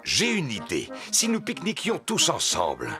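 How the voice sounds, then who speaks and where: A man's voice: fairly high pitch, wildly swooping pitch, moderate pace, pushed and bright, heavily acted. A man playing a jolly, easygoing daddy pig in a French children's cartoon, with music behind him. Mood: contented.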